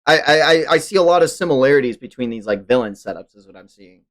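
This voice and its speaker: Male livestream host, informal fan talk, medium pitch, hyperbolic slang and frequent swearing. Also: animated, fast talker, fairly bright tone. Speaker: stream host. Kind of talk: casual livestream chat about manga.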